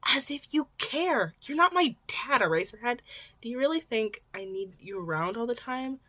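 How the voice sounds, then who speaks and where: Teen boy vigilante, female reader: nervy, defiant, sarcastic, higher register, audiobook character work. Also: animated, moderate pace, sharp tone. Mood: angry, defiant. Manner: rambling, indignant, sarcastic. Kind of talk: audiobook-style fan fiction character acting.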